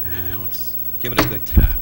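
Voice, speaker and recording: A man hosting a casual TV interview, playful and quick to joke. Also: lowish voice, vocal fry, poor audio. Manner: playful, joking, lively host. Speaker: talk show host.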